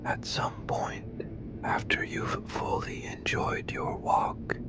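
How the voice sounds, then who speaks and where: A man narrating scripted horror warnings in a breathy whisper, deliberate and ominous. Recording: loud music over the voice. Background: loud music.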